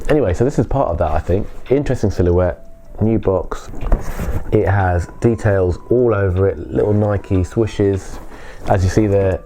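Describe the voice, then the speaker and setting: British male reviewer, deep and bunged-up, casual delivery over background music. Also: mellow. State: congested with a cold. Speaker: sneaker reviewer. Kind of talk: casual product review to camera.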